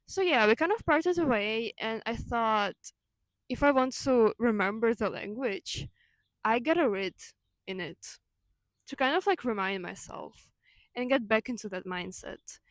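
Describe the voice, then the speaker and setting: A woman's voice: a little high, animated, measured pace, no room sound, rough recording. A young woman whose first language is Ukrainian, talking in a reflective, conversational way with fillers and self-corrections. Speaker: vlogger. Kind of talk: reflective personal monologue.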